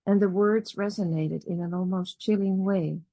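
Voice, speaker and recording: A woman whose first language is Dutch, giving a measured, reflective academic talk at a conference with pauses and restarts. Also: mid-range voice, steady tone, mellow, dry recording. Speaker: conference speaker.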